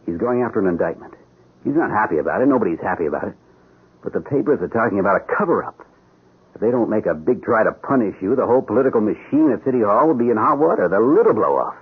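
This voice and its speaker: Hard-nosed lawyer, very husky low voice, persuasive, worldly, radio play. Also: male voice, lively intonation, fast talker. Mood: pragmatic, persuasive. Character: pragmatic, hard-nosed defense lawyer, urging compromise. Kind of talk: radio drama acting. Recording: muffled narrow-band radio drama recording.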